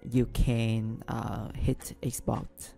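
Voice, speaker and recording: A man walks through a software tutorial at his desk, his voice gravelly and dark in tone. He hesitates often, filling gaps with ums and restarting phrases, speaking English as a non-native. Faint music plays underneath. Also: mid-range voice, level tone, drawn-out words. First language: not English. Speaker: business analyst tutorial host. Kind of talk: screen-recorded software tutorial.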